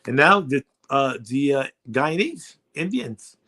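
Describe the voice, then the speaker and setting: A man's voice: mid-range voice, big pitch swings, slow pace, sharp tone. A man in his sixties with a New York accent, hosting a radio chat show in a rambling, chatty way. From Brooklyn, New York. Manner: rambling, chatty, many fillers. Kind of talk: casual chat.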